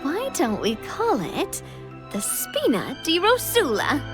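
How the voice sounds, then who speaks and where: A woman playing a confident, kind young royal lady in an anime game, warm and theatrical, encouraging those around her. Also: sits high, huge pitch swings, slow pace, exaggerated voice. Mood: playful, decisive. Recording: music under the voice.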